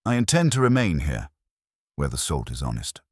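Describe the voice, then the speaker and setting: A man voicing a haughty, fastidious middle-aged detective in an audiobook, with pompous, formal pronouncements. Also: extremely low pitch, big pitch swings, husky, no room sound, clean studio sound, exaggerated voice. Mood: stubborn.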